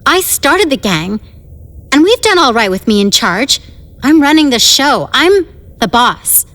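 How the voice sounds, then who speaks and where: A woman's voice: sits high, sing-song, unhurried, a bit bright, long reverb tail, full character voice. A young woman acting in an audio drama as a moody, insecure teenage girl who bosses a 1950s gang, wistful and defensive. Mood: stubbornly defiant.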